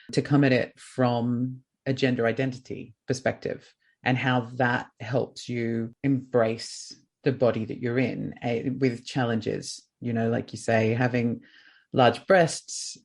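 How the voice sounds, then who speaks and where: A man's voice: medium pitch, vocal fry, a little mellow. A man hosting a podcast in casual chat. He has a light voice that is not very masculine and talks openly and reflectively, rambling with fillers. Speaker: podcast host.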